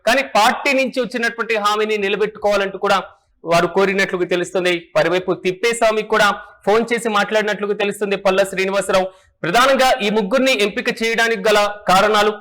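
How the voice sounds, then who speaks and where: A man's voice: high-pitched, fairly bright tone. A man presenting news and political analysis in a formal, repetitive manner.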